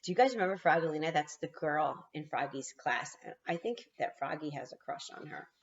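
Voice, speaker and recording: A woman's voice: sits low, animated, fairly quick. A woman kindergarten teacher reading a storybook aloud, with explanatory asides to young children, on slightly lo-fi audio.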